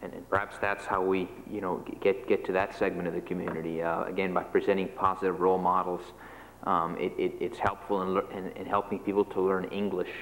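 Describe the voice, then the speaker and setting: A man in his thirties, from Cuba, answering questions as a panelist in a large lecture hall, speaking in a measured way and often repeating his first words. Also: deep voice, level tone, fairly bright tone, a little hiss or hum. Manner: measured, repeats words while starting phrases. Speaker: panelist, magazine publisher. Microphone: table microphone. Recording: long hall reverb, faint ambience.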